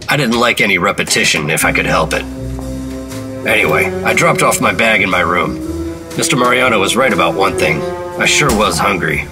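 Low-voiced deadpan secret agent. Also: male voice, brisk, music in the background. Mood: wary, deadpan. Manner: deadpan, clipped, guarded. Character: wary, terse 1950s male secret agent narrating his case. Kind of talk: noir radio drama narration and dialogue.

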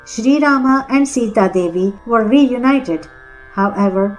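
A woman's voice: on the high side, rough recording. A woman reading scripted storytelling narration as the narrator of an audiobook. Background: faint Indian classical music.

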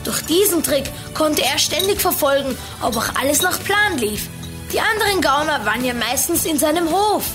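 A woman's voice: high-pitched, lively intonation, moderate pace, over music. A woman playing an earnest child detective in an audio drama, explaining things in a matter-of-fact way.

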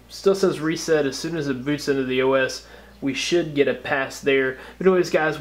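An American man narrating a casual step-by-step tutorial as a tech host, explaining things informally. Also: fairly high pitch.

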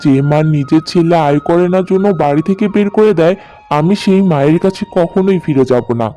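Man acting a higher-voiced, mellow young husband. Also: brisk, lightly acted. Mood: hurt, defiant. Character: wronged, proud young husband and son. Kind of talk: cartoon story acting. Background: background music.

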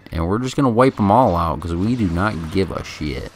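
A man giving casual, laid-back video game commentary in a halting, chatty way, close to the microphone. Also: low-pitched, lively intonation. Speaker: gameplay commentator. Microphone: microphone held very close. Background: game music.

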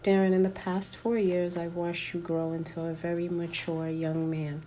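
A woman's voice: fairly low pitch, level tone, normal speed, mellow, slight background noise. A woman with a Haitian accent gives a playful, affectionate message, filmed on a phone at arm's length.

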